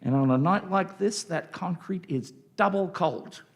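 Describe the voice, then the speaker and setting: A man's voice: high-pitched, measured pace, gravelly, voice pushed up. A man playing an opinionated, jokey English policeman on patrol in a live radio play, speaking colloquially. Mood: chatty.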